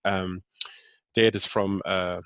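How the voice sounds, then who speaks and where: A New Zealand man in his fifties with a very low voice, answering interview questions in a relaxed, halting way. He fills his answers with ums and restarts as he gathers his thoughts. The sound is close and dry. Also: smooth, dry recording, lo-fi sound. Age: 50s. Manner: hesitant, many fillers and restarts. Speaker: interview guest. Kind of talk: casual interview talk.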